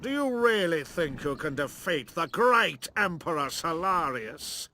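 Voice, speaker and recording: Casting: sneering, grandiose game villain, high-pitched and animated, a fanatically loyal imperial officer. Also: male voice, unhurried, slight background noise, full character voice. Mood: scornful. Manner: haughty, grandiose, theatrical. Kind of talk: theatrical video game villain acting.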